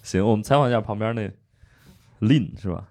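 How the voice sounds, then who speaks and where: A man hosting a casual podcast chat, joking and interviewing guests with quick affirmations. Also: fairly low pitch, darkish tone, dry recording. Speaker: podcast host.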